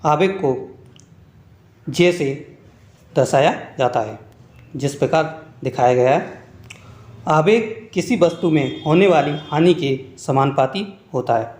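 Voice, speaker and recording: A man's voice: a little high, measured pace, long pauses, darkish tone. A man narrating a scripted lesson as an exam-prep teacher, reading out points one by one in an instructional way. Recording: echoey room.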